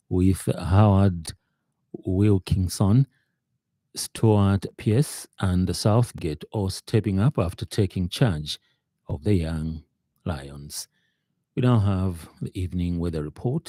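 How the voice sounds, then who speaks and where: Deep, dark-voiced Zambian male newsreader. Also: dry recording. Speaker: radio news presenter. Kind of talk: scripted news bulletin. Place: radio studio.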